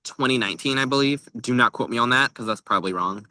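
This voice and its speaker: A man's voice: medium pitch, fast, bright, forceful tone, no echo. A young man chatting casually as the co-host of a book club podcast. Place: outdoors by a tree at a lake.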